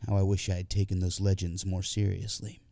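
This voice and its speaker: A man's voice: low voice, flat delivery, a little fast, creaky, dark tone, dry recording. A man reading a scripted first-person literary story as an audiobook narrator.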